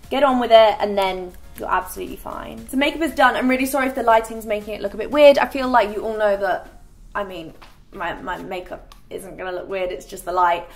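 Brief: young woman, beauty vlogger, chatty and rambling, light music under the voice. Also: mid-range voice. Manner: chatty, filler-heavy with frequent "like". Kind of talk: casual chatty get-ready-with-me vlog. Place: bright living room set.

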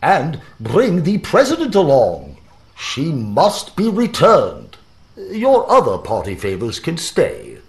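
A man voicing a commanding, eccentric male professor in a deliberate, formal manner for an audio story. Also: fairly high pitch, wildly swooping pitch, voice pushed up, heavily acted. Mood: instructing.

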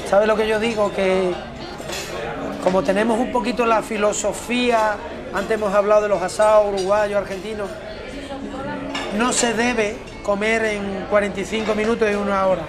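A man in his fifties, a former footballer, talking in an unhurried, reflective way over lunch in a restaurant and often repeating words as he searches for them. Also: high voice, level tone, over music, a crowd in the background. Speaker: interview guest, former footballer. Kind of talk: relaxed conversation over a meal. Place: restaurant by large windows.

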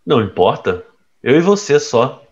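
Man acting troubled, hesitant boyfriend, swinging pitch. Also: sing-song, moderate pace, a little mellow, muffled recording, lightly acted. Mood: earnest, restless. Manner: hesitant, evasive. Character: troubled, restless man confiding in his girlfriend. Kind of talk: audio drama acting.

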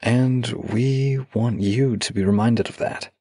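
A man in a close-miked audio roleplay, speaking softly and affectionately with a teasing edge, as a voice actor addressing a loved one. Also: medium pitch, slow, breathy edge, no room sound.

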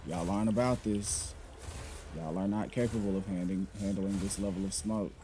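Mellow-voiced man trash-talking over gameplay. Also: low-pitched, steady tone, normal speed, slight background noise. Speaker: gamer. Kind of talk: gameplay commentary.

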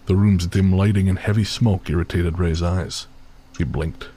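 A man narrating a scripted horror audio drama in a sombre, measured, literary manner. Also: sits low, steady tone, dark tone, slight background noise, close to an everyday voice. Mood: ominous.

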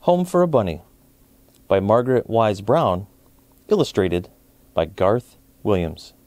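An American man in his forties reading a storybook aloud to children, with clear enunciation and expressive phrasing. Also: lowish voice, unhurried, dry recording. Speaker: children's story time host.

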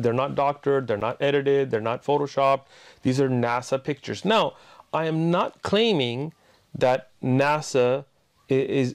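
Animated man preaching and explaining. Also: medium pitch. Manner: emphatic, rhetorical questions, gesturing. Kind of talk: explanatory religious talk to camera. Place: indoor room with bookshelves.

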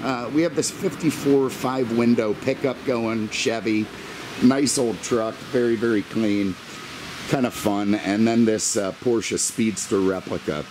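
A man's voice: medium pitch, fairly even intonation, measured pace, background noise. A middle-aged American man giving a rambling, casual car walkaround with wry, self-deprecating, exasperated humor and mild swearing. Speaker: car review vlogger.

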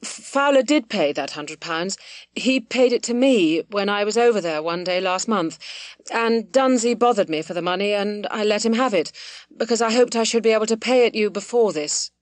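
A woman reading aloud, voicing a nervous young gentleman who stammers and hesitates before his father. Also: mid-range voice, normal speed, no echo, close to an everyday voice. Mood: pleading.

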